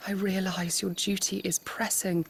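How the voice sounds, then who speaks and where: A woman's voice: mid-range voice, little pitch movement, normal speed, gravelly, slightly rough. A woman playing a loyal, concerned attendant to a nobleman in a game cutscene, careful and formal. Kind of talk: dramatic video game cutscene acting.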